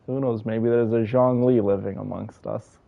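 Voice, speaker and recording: A man's voice: lowish voice, steady tone, moderate pace, gravelly, narrow, tinny audio. A man doing casual live game commentary and chatting with his viewers. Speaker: game streamer. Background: game music and dialogue.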